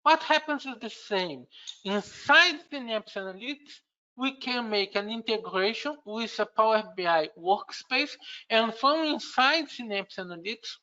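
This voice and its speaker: Slow Brazilian-accented man giving a tech talk. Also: high voice, bright, forceful tone, highs cut off. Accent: Brazilian Portuguese. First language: Portuguese. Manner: unhurried, halting explanation with frequent "uh" fillers and restarts. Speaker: conference speaker. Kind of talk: technical conference presentation.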